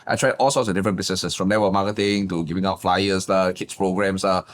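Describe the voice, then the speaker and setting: A man, a guest business coach telling his backstory in a lively, emphatic way. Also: quick pace, slight background noise. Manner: animated, emphatic, swings pitch up for punchlines. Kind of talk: casual interview storytelling.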